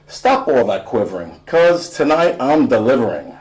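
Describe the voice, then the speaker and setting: A man's voice: mid-range voice, big pitch swings, moderate pace, darkish tone, natural voice, low-quality recording. Cocky American teenage boy athlete read by a man, boastful rhythmic verse for a storybook. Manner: rhythmic, punchy rhyming delivery. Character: cocky teenage boy basketball player, rhyming braggart.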